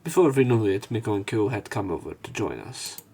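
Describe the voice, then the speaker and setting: A man's voice: on the low side, animated, a little fast, mellow, husky. A man narrating a visual novel let's play, reading the story text aloud with commentary.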